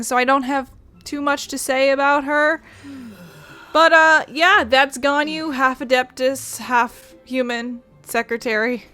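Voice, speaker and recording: High-voiced woman doing casual gaming commentary. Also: a bit bright. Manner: wry, swears freely. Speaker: gaming video host. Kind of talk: casual let's play commentary.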